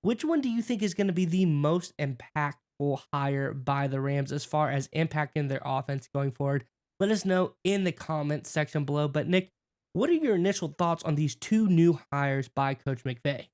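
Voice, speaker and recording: A man's voice: fairly high pitch, a little mellow. A man hosting a sports talk show, reading news articles aloud and then asking his co-host for his take. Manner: brisk, reads quotes then asks his co-host.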